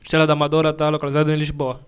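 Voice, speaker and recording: A man's voice: a little high, completely flat delivery, very fast, clean tone. A man hosting a sports interview show, friendly, laughing often and using slang. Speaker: news show host interviewing.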